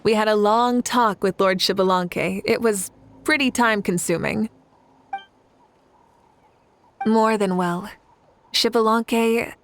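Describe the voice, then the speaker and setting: An actress playing a confident, caring female leader who speaks in a calm, reassuring way. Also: mid-range voice, a little hiss or hum, close to an everyday voice.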